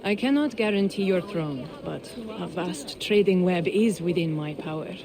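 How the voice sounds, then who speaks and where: An actor playing a dry, teasing older merchant in a video game. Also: male voice, high-pitched, animated, moderate pace, a crowd in the background. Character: wry older male Persian merchant. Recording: game soundtrack with background noise.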